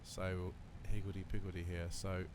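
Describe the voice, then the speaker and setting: A man with a lowish, slightly croaky voice talks casually through his simulator checklist, thinking aloud and filling gaps with ums and uhs. A steady cockpit engine drone sits clearly behind him. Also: moderate pace. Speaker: flight sim video creator. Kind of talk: relaxed running commentary while flying a simulator. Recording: voice over loud simulator cockpit noise.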